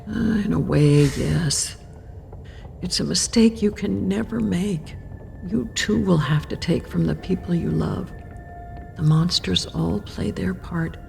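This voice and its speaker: An actress playing a solemn, ageless female keeper of death in a horror audio drama, gentle but resolute, with a gravelly, deliberate delivery. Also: on the low side, a little hiss or hum, heavily acted. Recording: faint music underneath.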